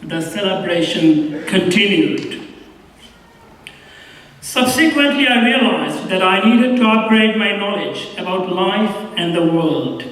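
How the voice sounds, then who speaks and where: A Bangladeshi man in his fifties giving a formal graduation address in a large auditorium, with a measured, deliberate way of telling his story. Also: high voice, lively intonation, a bit bright. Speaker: commencement speaker. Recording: echoey hall from far off.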